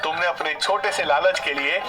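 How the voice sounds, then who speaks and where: An earnest, moralising friend in an animated tale, played by a man. His male voice is high and concerned. Music is clearly heard behind him. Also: normal speed, close to an everyday voice. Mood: earnest, admonishing. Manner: earnest, advising. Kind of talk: animated moral story voice acting.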